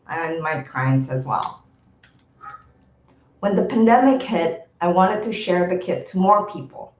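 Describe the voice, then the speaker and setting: A woman from Indonesia whose first language is Indonesian, giving a steady, well-rehearsed startup pitch over a video call. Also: roomy sound, lo-fi sound.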